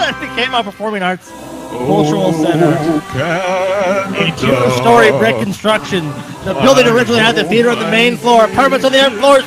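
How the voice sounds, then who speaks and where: A man co-hosting a podcast with casual, joking banter. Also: high-pitched. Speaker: podcast co-host. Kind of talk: casual comedic banter, reading aloud.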